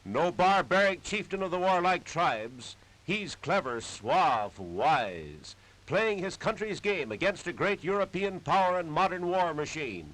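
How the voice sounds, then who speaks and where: A man's voice: sits high, very animated, a little slow, hardly any pauses, a bit bright, low-quality recording. An American man narrating a 1930s newsreel in a dramatic, declamatory manner on an old period soundtrack.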